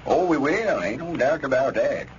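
A man's voice: mid-range voice, lively intonation, normal speed, natural voice. Man playing a self-important Southern country grocer, muffled. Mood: self-satisfied. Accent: rural Southern American. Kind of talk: 1930s radio comedy acting. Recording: muffled, noisy old radio recording.

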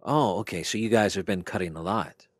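A man making a prank phone call with deliberate, creepy insinuation. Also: low-pitched. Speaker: prank caller. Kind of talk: prank phone call in character as a film slasher.

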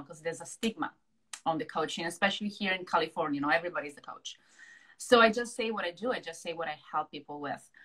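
A woman's voice: lowish voice, pushed and bright, rough recording. A woman in her thirties from Italy with an Italian accent, talking expressively as a guest on a video call interview, peppering her talk with "you know" and "right". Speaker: guest, hypnotherapist and success coach. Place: small room at home. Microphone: video call, laptop or webcam mic.

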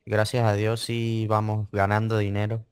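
A man's voice: on the low side, completely flat delivery, normal speed, smooth, no room sound. A man, answering interview questions casually and hesitantly, repeating words. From Venezuela (Mérida). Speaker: interview guest, trading student.

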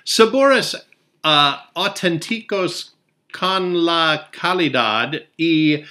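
A man's voice: fairly high pitch, expressive, extremely slow, sharp tone. A man in his fifties hosting a casual snack review at home, chatting and explaining step by step into a webcam. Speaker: food review host. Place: small indoor room at home.

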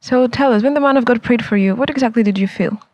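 A man interviewing a guest, asking formal, set-phrase questions in a brisk manner. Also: very high-pitched, no room sound, lo-fi sound.

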